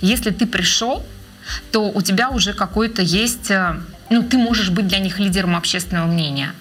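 A woman being interviewed as a researcher of children's radio, speaking in a measured, thoughtful way with frequent hesitation fillers. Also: mid-range voice, a bit bright, slightly rough, faint music behind. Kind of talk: interview answers.

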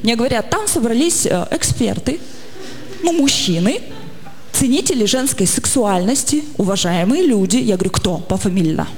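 A woman's voice: a little high, moderate pace. Self-mocking woman in her forties on stage. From Russia. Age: 40s. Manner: self-mocking, lively, chatty. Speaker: stand-up comedian. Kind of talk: stand-up comedy monologue. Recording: long hall reverb, audience noise.

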